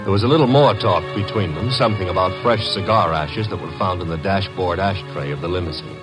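An actor playing a wry, wisecracking detective's assistant in an old radio drama, both narrating and talking. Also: male voice, low voice, fairly quick, loud music behind the voice, close to an everyday voice. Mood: wry narration.

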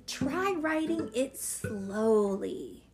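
A woman voices a responsible boy who leads a rescue team in a children's storybook. The voice is high and animated, confident and kindly in the way it gives instructions, with music behind it. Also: extremely slow, creaky, heavily acted. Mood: cautioning.

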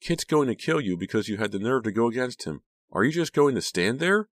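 A man's voice: mid-range voice, big pitch swings, fairly quick, no room sound, studio quality, voice pushed up, close to an everyday voice. A man voicing a terrified, defiant young woman in an audiobook, crying out and pleading in a strained voice. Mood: angry, frantic.

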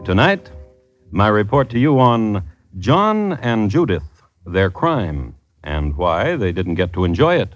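An actor playing a dry, wry connoisseur of crime, narrating deliberately as host of an old-time radio show. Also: male voice, fairly low pitch, no echo. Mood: wry, ominous. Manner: droll, deadpan, deliberate storytelling. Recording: muffled vintage radio broadcast with music.

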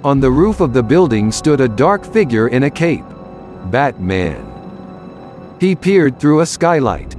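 A man's voice: mid-range voice, very animated, unhurried, a little mellow. A man narrating a scripted action story for an audio drama. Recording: music bed behind narration.

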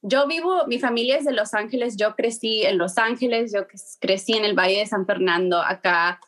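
A young woman, answering interview questions in a halting way many fillers and restarts, slipping words in. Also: medium pitch, a bit bright. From Peru. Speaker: guest, journalist.

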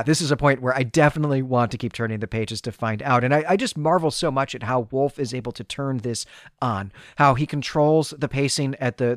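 A man's voice: mid-range voice, no echo. Dry, close-miked man recapping fiction. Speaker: podcast co-host. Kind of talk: conversational literary recap and discussion.

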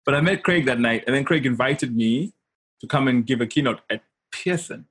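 A man's voice: medium pitch, moderate pace, fairly bright tone, husky. A man from Zimbabwe, a guest telling personal stories in casual, emphatic conversation and often checking in with his listener. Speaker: interview guest, consultant and coach.

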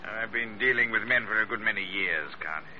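A dull, noisy vintage radio recording of a man acting a hard, menacing sea captain. He is middle-aged and curt, handing out deliberate, domineering threats in 1940s radio drama style. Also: lowish voice, lightly acted. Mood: insistent, threatening. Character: menacing one-legged middle-aged schooner captain, gun in hand.

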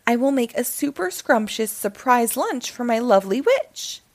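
Woman voicing an excitable, boastful storybook cat, swooping pitch. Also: moderate pace. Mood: excited, boastful. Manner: excitable, dramatic. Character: eager, boastful, easily flustered male witch's cat. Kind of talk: animated children's storybook character reading.

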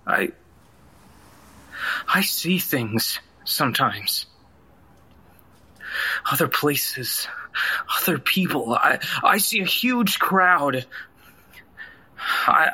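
Troubled young husband, tense bright voice, hesitant n evasive, audio drama. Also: male voice, on the high side, animated, breathy edge, heavily acted. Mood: haunted. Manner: halting, hesitant, searching for words. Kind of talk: naturalistic audio drama acting.

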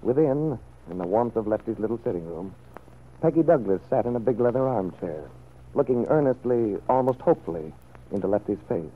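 A man's voice: lowish voice, animated, brisk. A man narrating a scripted old-time radio serial as a storyteller. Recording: muffled, noisy vintage radio recording.

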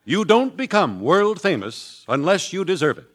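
A man announcing in a booming, salesy way, as in a 1940s radio ad. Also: big pitch swings, normal speed, breathy edge. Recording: old radio broadcast over loud music.

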